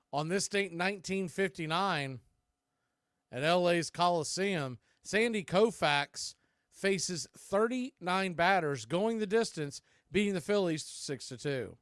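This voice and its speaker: A man's voice: fairly high pitch, lively intonation, slow pace, fairly bright tone, dry recording, clean studio sound. An American man calling a baseball game live as play-by-play host, with folksy, conversational asides. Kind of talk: live sports commentary with casual asides.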